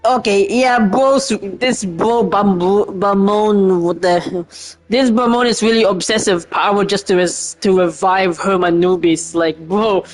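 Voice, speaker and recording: A man's voice: high-pitched, measured pace, rough recording. A man giving casual, slangy, exclamatory reaction commentary as he plays a game.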